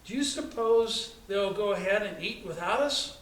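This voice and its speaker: American guy doing a headstrong little farm girl from a storybook, eager, highish, roomy echo. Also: lively intonation, normal speed, fairly bright tone. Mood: worried. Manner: exclaiming, childlike phrasing. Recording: echoey, distant, noisy room recording.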